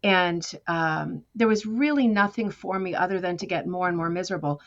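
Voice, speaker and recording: A woman's voice: on the low side, normal speed. Chatty American woman, slightly dull audio. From United States. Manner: lively, chatty storytelling with self-deprecating humor. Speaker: guest artist. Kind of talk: conversational interview.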